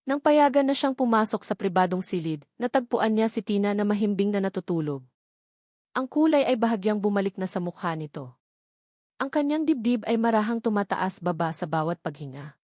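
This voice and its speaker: A woman narrates a romantic drama in a mid-pitched voice. Her phrasing is solemn and deliberate, and the sound is dry and close. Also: a little mellow, no echo. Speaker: storyteller. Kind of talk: dramatic scripted love-story narration.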